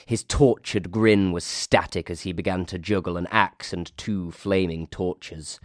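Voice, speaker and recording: A man with a Northern English accent reading a comic ghost story aloud, lively, lifting into high voices for the quoted characters. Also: a little slow, no echo, studio quality. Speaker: reader.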